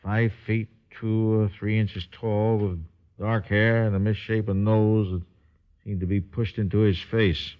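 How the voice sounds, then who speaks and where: An actor playing a businesslike senior FBI agent giving a briefing in an old-time radio drama. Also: male voice, lowish voice, flat delivery, measured pace, lightly acted. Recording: slightly muffled old radio recording.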